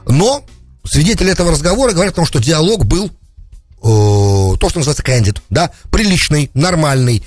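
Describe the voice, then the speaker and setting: A man's voice: a little high, big pitch swings, fairly quick, a little mellow, no echo, soft background music. A middle-aged man giving off-the-cuff political commentary as a radio host, close on a studio mic. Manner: peppers speech with English terms. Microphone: close studio broadcast mic on boom arm.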